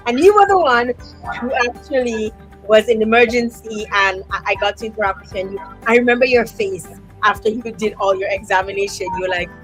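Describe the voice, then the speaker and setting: A woman hosting a live online talk show, speaking in an expressive, thankful way over a video call. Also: mid-range voice, brisk, faint music behind, low-quality recording. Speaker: host.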